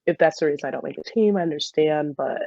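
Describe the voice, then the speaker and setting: Young woman, creaky voice, muffled recording. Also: deep voice, fast talker, no room sound. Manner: chatty, frequent laughter and filler "like". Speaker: podcast guest, soccer player and dental student. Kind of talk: casual interview chat.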